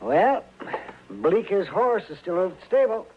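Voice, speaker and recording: A man playing a crusty, wry, excitable town doctor in an old western radio drama. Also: sits high, normal speed. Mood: insistent. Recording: dull, noisy old radio recording.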